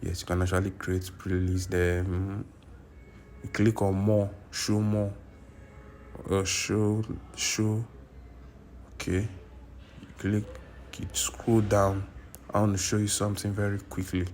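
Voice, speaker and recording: Flat-voiced man giving a tutorial. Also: fairly low pitch, slow pace, long pauses, slight background noise. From Nigeria. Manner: repetitive, insistent, self-correcting. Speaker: YouTube tutorial creator. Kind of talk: screen-recorded tutorial. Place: indoors at a computer.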